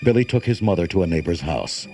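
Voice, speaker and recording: A man narrating a children's storybook in a dramatic, expressive way. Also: low-pitched, quick pace. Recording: cassette transfer with loud music behind. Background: loud music.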